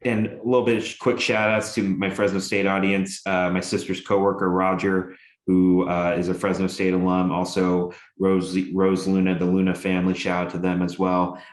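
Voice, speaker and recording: Young guy w a real deep voice, rambly fan talk full of ums, kinda echoey room. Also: level tone, normal speed. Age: young adult. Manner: rambling with many fillers, enthusiastic. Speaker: sports show co-host and radio broadcaster. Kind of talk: casual sports chat.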